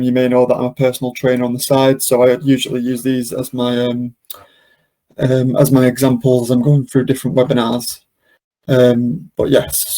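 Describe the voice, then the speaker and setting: A man hosting a webinar, casually talking through a software demonstration step by step without a script. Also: medium pitch, low-quality recording. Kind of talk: unscripted software walkthrough.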